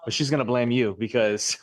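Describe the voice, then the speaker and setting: A man's voice: mid-range voice, steady tone, normal speed, dry recording. A man teaching a live class, upbeat and chatty, asking his students questions. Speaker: real estate instructor.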